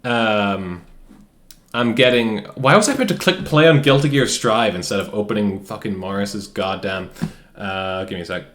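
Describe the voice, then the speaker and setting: A man's voice: on the low side, normal speed, a bit bright. A man in his late twenties hosting a game stream, chatting casually, laughing often and swearing freely. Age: late 20s. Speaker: streamer, host. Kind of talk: casual chat while gaming.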